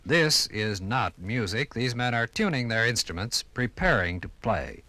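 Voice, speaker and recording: A man narrating and explaining as a guide in a scripted 1950s radio program, with deliberate phrasing. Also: medium pitch, big pitch swings, sharp tone, no echo.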